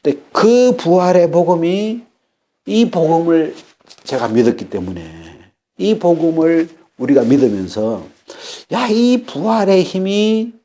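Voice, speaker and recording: Animated Korean pastor in his fifties. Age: 50s. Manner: animated preaching, pitch swinging up for emphasis and dropping low; halting, with fillers and repeated words. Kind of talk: Sunday sermon.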